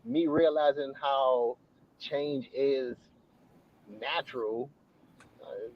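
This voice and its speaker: A man's voice: on the high side, dull audio, a little hiss or hum. A man who is a guest on a podcast, speaking with stammers and restarts and laughing easily. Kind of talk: casual podcast chat.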